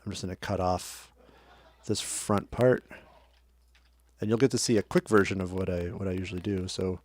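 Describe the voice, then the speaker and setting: A man with a lowish voice walks through his editing workflow in a relaxed, chatty way. He trips over his words now and then and narrates as he clicks. The sound is dry, with no room echo. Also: normal speed. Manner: chatty, self-correcting, talks while working. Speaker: audio editing tutorial host. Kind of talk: casual screen-share tutorial.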